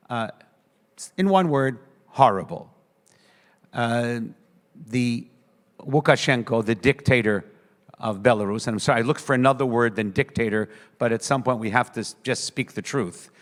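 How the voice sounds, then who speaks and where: A man with a New York accent, a rabbi giving an earnest, measured conference speech with frequent hesitations. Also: medium pitch, in a large hall. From United States. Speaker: rabbi speaking on a panel.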